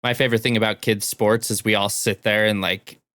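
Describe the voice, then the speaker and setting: A man's voice: fairly low pitch, little pitch movement, fairly quick, a bit bright, no echo, studio quality. A man co-hosting a podcast in casual, conversational chat. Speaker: podcast co-host.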